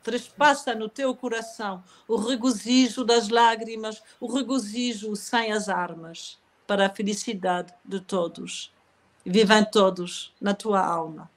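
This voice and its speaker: A woman author, a lingering cough after an illness, talking calmly about poetry and reading gently from her novel. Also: medium pitch, unhurried. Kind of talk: conversational interview, then reading aloud from her novel.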